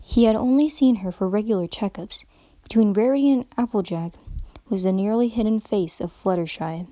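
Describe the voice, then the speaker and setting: A woman giving a dramatic reading of a story as narrator, with expressive storytelling. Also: medium pitch, normal speed, dry recording. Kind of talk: dramatic reading of fan fiction.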